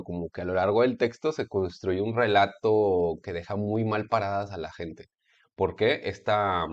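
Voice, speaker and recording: Man, Mexican Spanish accent, low pitch, sarcastic rambling commentary, home computer recording. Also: dull audio. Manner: rambling, hesitant, many fillers and restarts, sarcastic asides. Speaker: video essayist. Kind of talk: casual commentary monologue.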